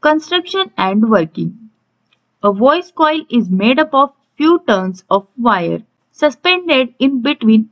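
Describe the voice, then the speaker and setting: A woman's voice: on the high side, muffled recording. A woman with an Indian accent teaching in an instructional way, reading out points and explaining them step by step. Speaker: electronics teacher. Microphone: voice-over on slides.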